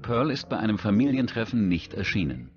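Deep-voiced male documentary narrator. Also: very animated, a little fast, dull audio. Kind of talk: scripted true-crime documentary narration. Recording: music bed behind the voice.

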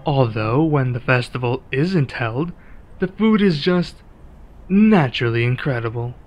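A man acting a one-sided ASMR roleplay as a laid-back, friendly werewolf local, speaking casually and in a measured way with pauses. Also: medium pitch, lively intonation, lo-fi sound.

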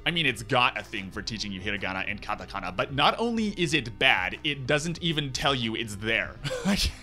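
A man in his twenties hosts a comedic review with open sarcasm and exasperation. His bright, forceful voice moves through lively, exaggerated intonation. It is recorded close at a desk microphone, with faint music behind it. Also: medium pitch, brisk. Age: 20s. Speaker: YouTube reviewer, host. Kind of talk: scripted comedic review commentary. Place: small room at home, indoors.

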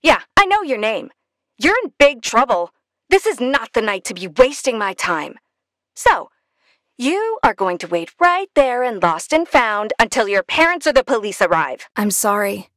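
A woman's voice: fairly high pitch, big pitch swings, moderate pace, fairly bright tone, no echo, studio quality. A young woman playing a stern, irritated night security guard in a horror video game, exasperated and sometimes sing-song when coaxing. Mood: commanding, urgent.

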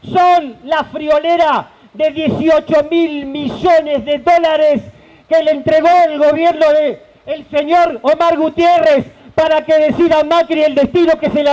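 A man's voice: extremely high pitch, low-quality recording. A man in his forties with an Argentine accent, a union leader giving an impassioned protest speech outdoors into a handheld mic. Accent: Argentine Spanish. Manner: impassioned, shouting at times, gesturing. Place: outdoor stage under a tent at a rally.

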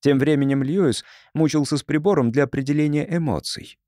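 A man reading an audiobook story as narrator, in an expressive scripted style. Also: fairly high pitch, fairly quick, no echo, clean studio sound.

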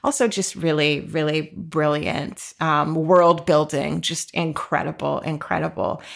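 A woman's voice: deep voice, fairly even intonation, a little slow, vocal fry. An American woman co-hosting a podcast, chatting casually and admiringly about books. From Jacksonville, United States. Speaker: podcast host and author. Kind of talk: casual book chat.

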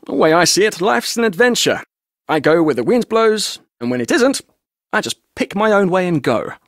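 A roguish, chatty tour guide from an adventure video game. His voice sits slightly high for a man and moves with very lively intonation. He talks like a glib, jaunty showman telling tales, recorded dry with no room sound. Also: normal speed, no echo. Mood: wry, joking. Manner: glib, jaunty, theatrical storyteller. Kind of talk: video game character dialogue.

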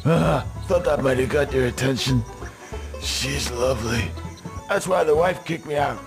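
A man's voice: medium pitch, a little slow, gravelly, over music. A man playing a crude, whining bee king reduced to begging, in a comic video game. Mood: lewd, irritated. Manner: crude, complaining, wheedling. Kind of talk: video game cutscene acting.

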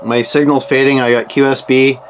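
A man with a mid-pitched voice talks casually through a radio demonstration. He sounds like a hobby operator explaining things as he goes. There is noticeable noise behind his voice. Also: flat delivery, a little fast. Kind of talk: casual radio demo commentary. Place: indoors at a desk. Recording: clear background noise.

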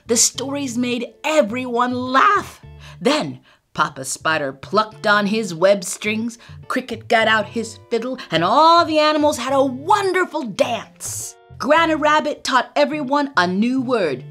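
A middle-aged woman reading a children's storybook aloud in an animated, expressive way, recorded by webcam in a room lined with bookshelves. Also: on the high side, unhurried, soft background music. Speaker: storytime reader.

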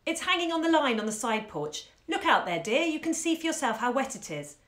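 A woman's voice: high-pitched, very animated, a little fast, a bit bright, voice pushed up. A woman voicing a busy, exasperated mother who scolds sharply and impatiently. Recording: roomy echo.

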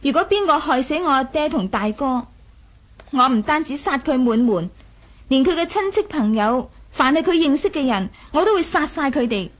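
A young noblewoman with a high voice, in the style of 1980s radio drama. She is proud and willful, coy one moment and imperious the next, with a ruthless, teasing edge. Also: expressive, normal speed. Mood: fierce, vengeful.

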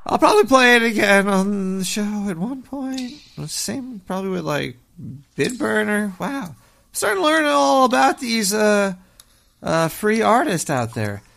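Performer as a paranoid cartoon rat host, gravelly creaky voice, pitch jumping all over, stuffy nose, rambling. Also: sing-song, unhurried, a bit bright, heavily acted. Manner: rambling, stammering, swings wildly in pitch. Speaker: host taking calls and playing music. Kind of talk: comedic radio show chatter.

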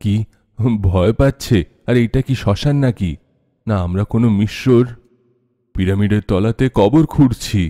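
A man playing a stubborn, commanding officer in an animated horror story, brusque and dismissive of superstition. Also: lowish voice, normal speed, pauses often, lightly acted. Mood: dismissive, irritated.

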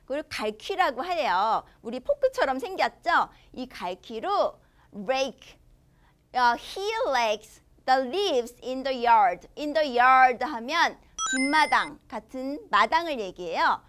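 A young woman teaching a children's language lesson, expressive and enunciating slowly for young learners. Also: high-pitched. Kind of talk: children's language lesson, switching between Korean and English.

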